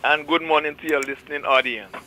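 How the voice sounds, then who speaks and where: A man's voice: fairly high pitch, steady tone, brisk, sharp tone, a little hiss or hum. A man calling in to a radio show, jovial and joking, heard over a phone line. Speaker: caller.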